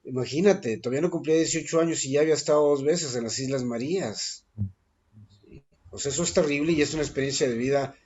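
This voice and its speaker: A man, a guest poet answering interview questions thoughtfully, pausing often with fillers and restarting his sentences. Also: on the high side, darkish tone, husky. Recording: dull lo-fi recording.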